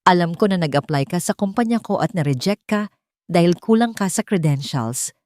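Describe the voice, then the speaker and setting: A woman's voice: on the low side, lively intonation, normal speed, darkish tone, no room sound, lightly acted. Woman voicing a curt, commanding male CEO. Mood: cold. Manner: curt, businesslike, unemotional. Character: cold, commanding, desperate billionaire male CEO.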